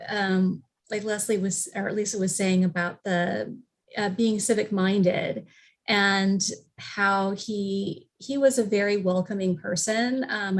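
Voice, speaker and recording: Creaky-voiced woman on a panel. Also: mid-range voice. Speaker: local history panelist. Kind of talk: conversational explanation.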